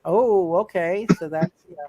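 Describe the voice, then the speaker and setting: A man's voice: high-pitched, a little slow, mellow, dry recording, lo-fi sound. A man narrating a live tabletop superhero game as game master, stammering and often repeating his words.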